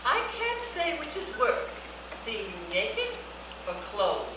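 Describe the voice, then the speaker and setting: Actress as a haughty old great aunt, echoey hall. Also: female voice, fairly high pitch, wildly swooping pitch, exaggerated voice. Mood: disdainful. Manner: slow, biting, disdainful. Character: haughty, sharp-tongued great aunt in her eighties. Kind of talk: cold table read of a comedy screenplay. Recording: echoey noisy hall, distant mic.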